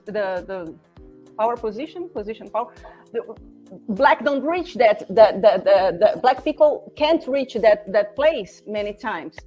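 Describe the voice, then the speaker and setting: A woman in her forties from Brazil, speaking English with a Brazilian Portuguese accent as a podcast guest, halting and searching for words with many restarts. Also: a little high, dull audio. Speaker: podcast guest, former classmate. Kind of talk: casual conversation.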